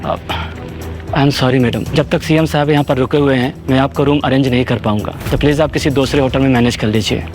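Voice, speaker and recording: A man's voice: medium pitch, fairly even intonation, brisk, a little mellow, soft background music, close to an everyday voice. A man playing an obsequious, officious hotel manager in an audio drama, polite and formal. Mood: apologetic, firm. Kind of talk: Hindi audio drama acting.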